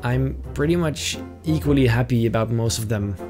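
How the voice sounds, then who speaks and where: A young man talks through viewer questions in a loose, easygoing way. He hesitates, fills gaps with fillers and trails off into little asides. His voice sits at an ordinary pitch, and music plays clearly behind him. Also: normal speed. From Netherlands. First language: Dutch. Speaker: YouTuber answering viewer questions. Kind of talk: casual Q&A chat. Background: background music.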